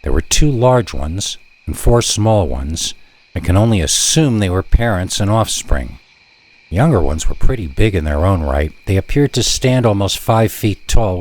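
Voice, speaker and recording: A man reading a first-person scary story in a measured, deliberate way with dramatic pauses. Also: fairly low pitch, darkish tone. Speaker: narrator reading scary stories.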